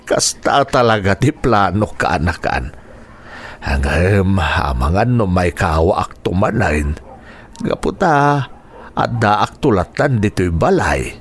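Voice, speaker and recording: Slow-talking kindly older uncle. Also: male voice, low voice, animated, a little hiss or hum, heavily acted. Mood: fatherly, reassuring. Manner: slow, fatherly, reassuring. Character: kindly, reassuring older uncle, a retiring teacher. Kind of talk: radio drama acting.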